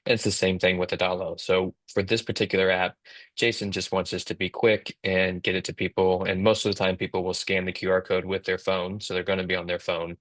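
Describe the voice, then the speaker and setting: Low, even-toned man explaining casually. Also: a little fast, no room sound. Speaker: co-host, app company team member. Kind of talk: casual instructional chat. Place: indoors on a video call, virtual background.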